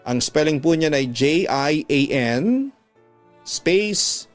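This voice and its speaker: Male Filipino radio drama narrator, slightly higher register, dramatic letter-reading delivery, music bed underneath. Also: animated, slow pace. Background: background music.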